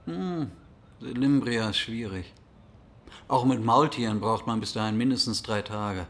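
An actor playing a practical, wary guide in a radio drama, cautious and matter-of-fact. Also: male voice, medium pitch, unhurried, fairly bright tone.

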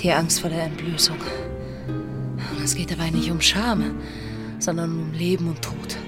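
A woman's voice: low-pitched, level tone, measured pace. An actress playing a bold, commanding female pirate in a radio drama, direct and defiant. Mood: earnest, confiding. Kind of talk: German radio drama acting. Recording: music behind the voice.

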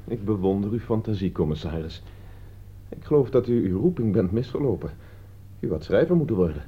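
A man's voice: lowish voice, animated, a little fast, slightly rough. A man acting in a radio play as a cornered young heir, defensive, protesting and flippantly mocking. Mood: cool, mocking. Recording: dull lo-fi radio recording.

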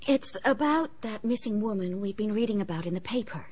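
An actress playing a forthright young society niece in a radio drama, polite but candid and vividly descriptive. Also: female voice, mid-range voice, lively intonation, fairly quick, darkish tone, slightly rough. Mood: earnest.

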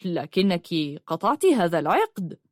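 A woman voicing a young man who poses as a magician in a storybook, serious, measured and kindly. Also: on the low side, sing-song, no echo, studio quality. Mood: knowing, serious. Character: young man posing as a solemn, gentle magician.

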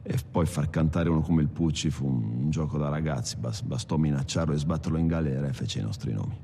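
An actor playing a weary captured robber, telling his story in a halting, colloquial confessional monologue. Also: a bass voice, gravelly, noisy background. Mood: bitter recounting. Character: weary captured male robber recounting betrayal and beatings. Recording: film soundtrack under loud music.

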